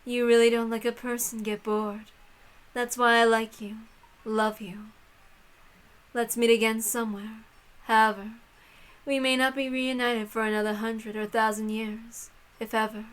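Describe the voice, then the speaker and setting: A woman's voice: fairly high pitch, level tone, a little slow, clear, resonant tone, close to an everyday voice. A woman playing a haughty, amused, cruel witch lady in a visual novel read-aloud, with a grand, commanding, theatrical delivery. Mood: fond, knowing.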